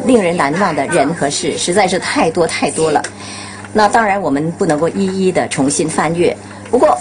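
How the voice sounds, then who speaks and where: A woman's voice: on the low side, slight background noise. A woman speaking as the host of a radio show. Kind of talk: radio farewell show hosting. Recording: music behind the voice. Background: background music.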